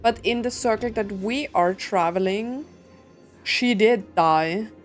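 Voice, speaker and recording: A woman streams a story game with music playing underneath. She talks casually, thinking out loud and questioning what she sees in an average-pitched voice. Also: slow. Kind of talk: casual reaction commentary.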